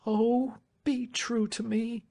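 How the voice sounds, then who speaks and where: A man voicing an earnest, agitated young lady in an audiobook, pleading with urgent, hushed entreaty. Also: extremely high pitch, little pitch movement, slow pace, gravelly, voice pushed up, heavily acted.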